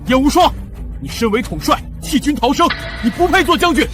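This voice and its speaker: Fervent, declamatory army officer. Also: male voice, very high-pitched, expressive, brisk. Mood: accusing. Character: indignant loyal soldiers' officer, fervent and forceful. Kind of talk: costume short-drama acting. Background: dramatic background music.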